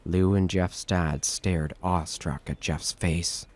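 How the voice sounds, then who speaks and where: A man's voice: low-pitched, steady tone, slow pace, a little mellow. A man giving scripted narration of a horror story as the narrator of an audio drama. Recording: music bed under narration.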